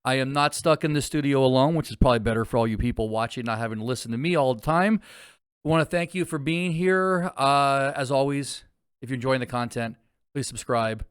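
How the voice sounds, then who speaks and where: A man's voice: medium pitch, a little fast, smooth, no echo, clean studio sound. A man co-hosting a sports betting podcast, chatting casually and joking around. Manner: easygoing, joking. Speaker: podcast co-host.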